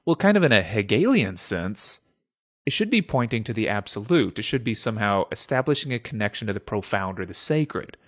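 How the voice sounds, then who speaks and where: A man's voice: fairly low pitch, very animated, brisk, highs cut off. A man giving an informal explanatory monologue as a host, conversational and musing, often posing rhetorical questions. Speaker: host of a philosophy series.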